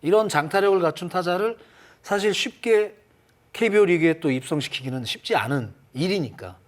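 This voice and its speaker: Casting: male sports analyst, high voice with animated intonation, explanatory panel talk. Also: a little fast. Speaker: baseball analyst.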